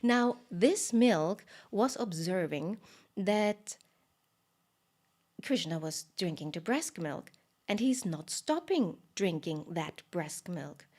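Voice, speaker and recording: A woman telling a story live in an expressive way, with fillers and dramatic pauses. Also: fairly low pitch.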